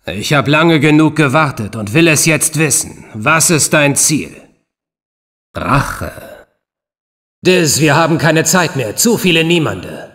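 Game role: commanding, enigmatic cloaked man, middle-aged, solemn and unhurried, heavy reverb. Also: on the high side, sing-song, fairly bright tone, full character voice. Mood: stern, questioning. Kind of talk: dubbed video game voice clips, dramatic acting.

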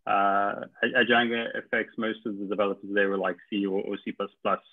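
A man's voice: on the low side, flat delivery. Hesitant man, tinny phone-like audio. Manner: halting, many ums and repeats. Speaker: meetup attendee asking questions. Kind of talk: hesitant questioning.

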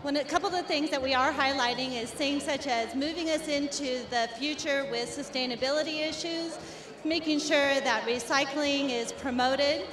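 High-voiced woman explaining earnestly. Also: normal speed, over music. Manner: earnest, explanatory, many restarts. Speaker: company representative at a business expo. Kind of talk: expo interview. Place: indoor expo hall before a backdrop. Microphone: handheld mic held by the interviewer. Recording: echoey hall with crowd noise.

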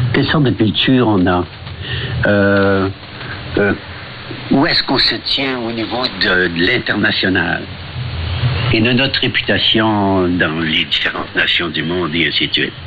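Low-voiced French-Canadian man on muffled shortwave. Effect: shortwave radio. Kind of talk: unscripted explanation. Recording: muffled, noisy shortwave radio broadcast.

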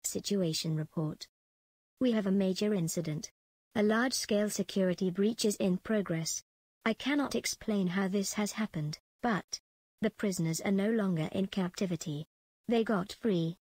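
A woman's voice: mid-range voice, normal speed, a little mellow, breathy edge, no room sound, lightly acted. An actress playing a ruthless female prison commandant in a space empire, dictating clipped official log entries for a video game. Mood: tense, alarmed.

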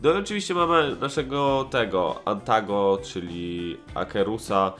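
A man's voice: fairly low pitch, lively intonation, moderate pace, a bit bright. A man giving a casual, rambling review, hesitant and slangy, often correcting himself. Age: 20s. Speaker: host of a nostalgic cartoon review show. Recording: music playing behind the voice.

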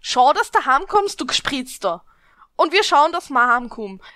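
A woman acts a scornful club-goer. Her voice is high, bright and cutting, recorded dry for an audio spoof. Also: big pitch swings, moderate pace, dry recording, full character voice. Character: scornful Austrian female audience member. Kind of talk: parody detective radio play.

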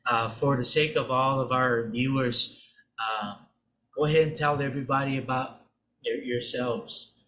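A man's voice: medium pitch, steady tone, a little slow, pushed and bright, rough recording. A man in his thirties from American Samoa, hosting a podcast in casual chat, talking loosely with many restarts and fillers. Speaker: podcast host. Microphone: desk microphone.

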